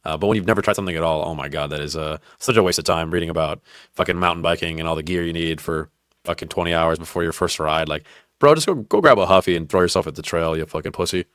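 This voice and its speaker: Young guy podcast host, deep voice, swears a lot, laughs a lot, dry mic. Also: fast, fairly bright tone, dry recording. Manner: laughs often, swears freely, teasing. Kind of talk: casual crude banter.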